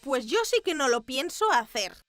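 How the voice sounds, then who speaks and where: Scatterbrained, kind young cartoon princess, high-pitched, animated, chatty and dramatic. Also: female voice, moderate pace, over music. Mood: coaxing, animated.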